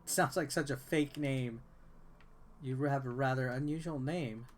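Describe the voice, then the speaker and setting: A man's voice: medium pitch, measured pace, a little hiss or hum. A man doing casual, bored-sounding gameplay commentary, reading dialogue aloud and adding sarcastic asides. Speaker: video game reviewer.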